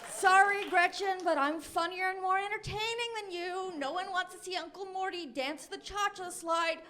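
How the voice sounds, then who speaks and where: A woman's voice: extremely high pitch, steady tone, normal speed, bright, forceful tone, a little hiss or hum. A young American woman in her twenties performing a live stand-up comedy routine in a chatty, self-deprecating storytelling manner. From Los Angeles, United States. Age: 20s. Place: comedy club stage with live audience. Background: audience laughter.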